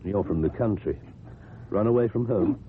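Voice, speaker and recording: A man's voice: on the low side, natural voice. An actor playing a reserved, lonely, well-educated ex-convict with a British accent, kindly but wary and formal in his speech, in a radio drama. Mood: concerned. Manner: formal, measured, precise speech. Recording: muffled, noisy old radio recording.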